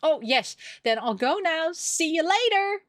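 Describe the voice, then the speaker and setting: A woman voicing a friendly, caring young maid in a visual novel, with a gentle manner. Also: very high voice, big pitch swings, unhurried, dry recording, clean studio sound, voice pushed up, exaggerated voice. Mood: cheerful.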